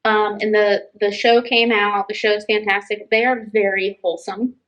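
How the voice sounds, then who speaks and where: A woman's voice: mid-range voice, little pitch movement, moderate pace, fairly bright tone, muffled recording. A woman co-hosting a casual library book chat, talking in an enthusiastic, effusive way. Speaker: library podcast co-host.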